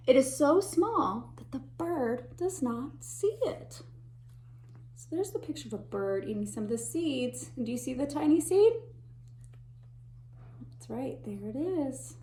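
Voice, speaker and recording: A woman teacher reading a picture book aloud to children, pausing to point out the pictures and dropping to a whisper or a gasp at tense moments. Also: high-pitched. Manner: animated, gasps and whispers for suspense, pauses to point out pictures. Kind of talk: expressive read-aloud storytime.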